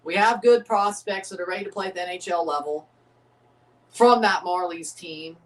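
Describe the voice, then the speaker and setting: A man's voice: high voice, normal speed. Male streamer, casual, rambling watchalong chat, slightly scratchy voice, mic at a distance. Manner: rambling, excitable, repeats himself. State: voice getting scratchy from overuse. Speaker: streamer hosting a match watchalong.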